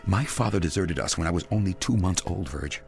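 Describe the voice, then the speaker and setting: A creaky-voiced, hesitant grown son. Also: male voice, deep voice, animated, brisk, faint music behind. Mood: defensive. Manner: hesitant, earnest, defensive. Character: hesitant, guilt-ridden grown son devoted to late mother. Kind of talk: radio drama acting.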